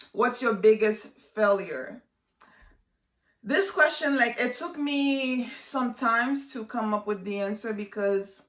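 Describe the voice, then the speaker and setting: A chatty woman in her twenties, originally from Haiti, talks to her viewers in a relaxed vlog style. Her voice sits at an ordinary middle pitch. The room around her gives the sound a noticeable echo. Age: 20s. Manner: chatty, lots of fillers and likes. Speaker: vlogger. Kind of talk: casual vlog chat to camera.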